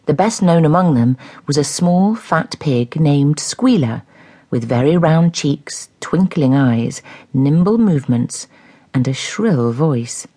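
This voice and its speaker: A woman's voice: low voice, animated, takes it slowly, warm tone, breathy edge, heavily acted. A woman reading scripted narration for a dramatised story.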